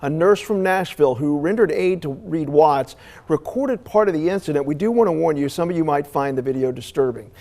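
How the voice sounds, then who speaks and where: A man's voice: on the high side, brisk, a little mellow. An American man working as a morning TV news anchor, reading stories and doing live interviews in broadcast style.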